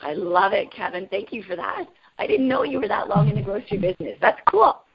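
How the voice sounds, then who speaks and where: Raspy-voiced woman leading a group call. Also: medium pitch, a little fast, muffled recording. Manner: upbeat, energetic, asks group questions. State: raspy voice today. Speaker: team call leader.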